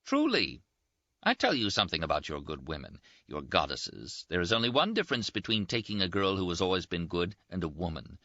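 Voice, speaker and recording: A man's voice: huge pitch swings, pushed and bright, no room sound, lo-fi sound, full character voice. Man voicing a brash teasing young Italian officer. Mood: boastful. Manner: teasing, boastful, quick, pitched up. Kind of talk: audiobook character voice.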